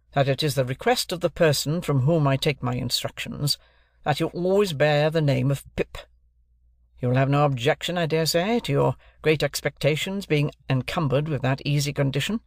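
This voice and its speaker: A man's voice: on the high side, moderate pace, creaky, no echo, studio quality. A man voicing a brusque, stern middle-aged lawyer who speaks in curt, clipped, commanding sentences. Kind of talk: audiobook character voice.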